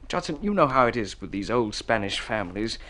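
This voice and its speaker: An actor playing a vain, boastful, excitable suitor, blustering and self-satisfied, in a vintage radio drama. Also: male voice, fairly low pitch, fairly quick. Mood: boastful, excited. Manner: self-satisfied, blustering, hesitant when flustered. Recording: husky old radio recording with music.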